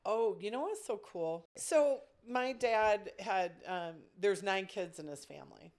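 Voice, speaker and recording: A woman's voice: mid-range voice, animated, unhurried, a little mellow. A woman with a head cold, chatting casually and in a rambling way as a podcast co-host. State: congested with a cold. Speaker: podcast co-host, camp director. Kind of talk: casual chat.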